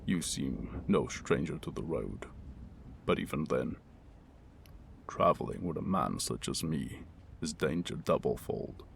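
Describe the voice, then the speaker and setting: An extremely low, crackling-voiced wounded mercenary played by a man, murmuring intimately as in ASMR roleplay. He is guarded, brooding and wry with a tender streak, speaking in halting, old-world phrases while rain and thunder sound behind him. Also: animated, heavily acted. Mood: wry, coaxing. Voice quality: deep, gravelly, breathy murmur. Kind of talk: ASMR roleplay acting, intimate second-person monologue. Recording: rain and thunder ambience noise.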